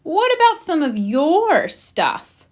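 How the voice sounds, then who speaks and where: An outspoken young girl bear from a children's storybook, put on by a woman reader. She is insistent, with a high voice and very animated delivery. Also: slow, full character voice. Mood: protesting. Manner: protesting, insistent.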